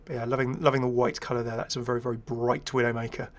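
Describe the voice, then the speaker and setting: A man's voice: mid-range voice, level tone, quick pace. A man giving casual, rambling first-impressions commentary as a gaming video creator, chatty, enthusiastic and self-deprecating.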